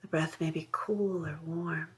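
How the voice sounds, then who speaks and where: A woman with a slightly low voice leads a mindfulness practice. She gives gentle instructions in short, soothing phrases. Long pauses separate them, keeping the delivery unhurried. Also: steady tone. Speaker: mindfulness meditation guide. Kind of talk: guided meditation, gentle instruction.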